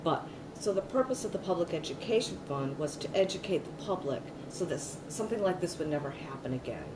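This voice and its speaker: A woman's voice: on the low side, expressive, fast. A middle-aged woman giving an oral history interview, talking loosely with many fillers and restarts. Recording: echoey room, mic at a distance, noisy.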